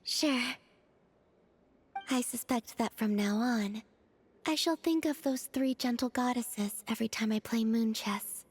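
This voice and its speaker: A woman voicing a gentle, naive young moon goddess in a video game, soft and airy, with a measured, formal way of speaking. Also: on the high side, slight background noise, lightly acted. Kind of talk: video game character acting. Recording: faint music behind the voice. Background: game music.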